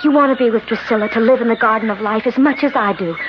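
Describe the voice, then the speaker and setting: Radio drama actress as anguished young woman: high pitch, breathless halting delivery, noisy vintage broadcast sound. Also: close to an everyday voice. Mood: alarmed, pleading. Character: anguished, desperate young woman trapped among hated men. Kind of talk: 1970s radio drama acting. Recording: noisy, muffled old radio broadcast recording.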